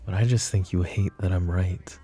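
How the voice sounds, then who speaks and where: A man playing a flirty, possessive mafia boss who is protective and menacing, in an intimate roleplay ASMR style with smooth-talking, controlled menace. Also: low-pitched, fairly even intonation, normal speed, mellow, soft background music. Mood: coolly persuasive.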